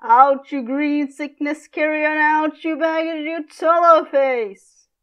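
Woman acting a furious Shakespearean father, high and scornful. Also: steady tone, slow pace, highs cut off. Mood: raging. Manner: archaic, declamatory, scornful. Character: furious tyrannical father, Shakespearean patriarch berating his daughter.

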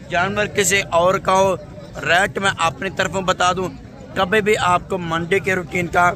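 A man, talking emphatically and repetitively to viewers as a livestock market vlogger. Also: high-pitched. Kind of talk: vlog addressed to camera. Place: open-air livestock market. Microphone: phone at arm's length. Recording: busy outdoor crowd noise.

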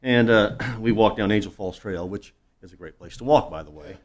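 A man's voice: fairly low pitch, normal speed. A man speaking as a council member, making informal, blunt and folksy complaints in a meeting room.

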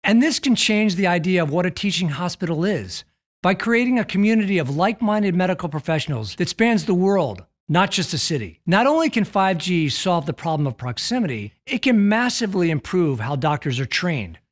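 A middle-aged American man presents a scripted keynote as an executive would. His voice sits a little high and moves with lively intonation. The delivery is measured and emphatic, with a polished corporate tone. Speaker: telecom executive giving a keynote. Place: indoor studio with plain backdrop.

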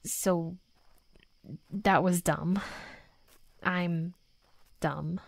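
A woman playing a hot-headed, flustered young female werewolf, a softening bully, in an intimate roleplay audio drama, speaking haltingly and defensively. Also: lowish voice, fairly even intonation, creaky, slightly rough, no room sound, full character voice. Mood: earnest, self-critical. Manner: halting, defensive, teasing, often dropping to soft murmurs.

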